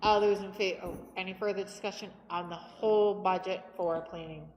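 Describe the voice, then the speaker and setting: A woman's voice: mid-range voice, fairly even intonation, brisk, a little mellow. A woman chairing a town budget committee meeting, reading out budget lines and calling votes in a businesslike way on a table microphone. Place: town hall meeting room. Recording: echoey hall, faint background noise.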